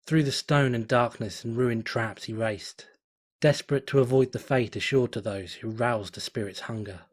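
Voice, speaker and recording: A man narrating a fan story at home, reading in a measured, dramatic way. His voice sits at an ordinary, mid-range male pitch. He lets the suspense build steadily from line to line. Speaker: fanfiction reader.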